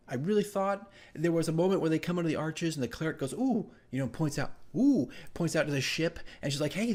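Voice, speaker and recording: A man telling the story of his tabletop game session as dungeon master, in a casual, animated, rambling vlog style. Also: medium pitch. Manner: animated, rambling asides with acted-out exclamations.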